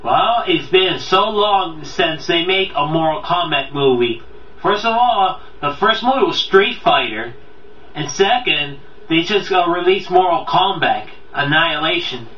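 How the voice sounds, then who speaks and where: A man with a forceful, bright voice hosts an unscripted review, addressing viewers casually. He rambles and halts as he goes. The sound is echoey and a little distant from the mic. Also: on the high side, expressive. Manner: rambling, halting, often losing track. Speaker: video countdown host and reviewer. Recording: roomy, distant, dull mic, faint noise.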